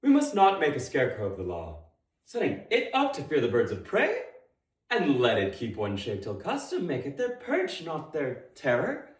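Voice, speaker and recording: A man playing a stern, pompous deputy ruler in a declamatory, deliberate Shakespearean stage monologue. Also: a little high, wildly swooping pitch. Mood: lofty, reasoning. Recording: echoey room.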